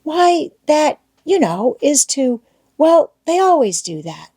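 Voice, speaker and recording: Woman as an eager, folksy schoolboy, lively pitch swings. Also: slow pace, heavily acted. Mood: coaxing. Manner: folksy old-fashioned rural American speech. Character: eager, boastful, wheedling young schoolboy in love. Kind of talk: audiobook character voice.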